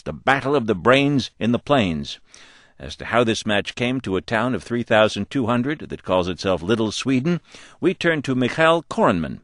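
A man hosting a public radio interview, with a wry manner and drawn-out emphasis on words. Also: medium pitch, no room sound, studio quality. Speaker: radio host.

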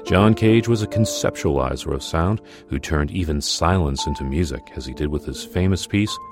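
Male documentary narrator, very low register, scripted delivery, music bed underneath. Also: moderate pace.

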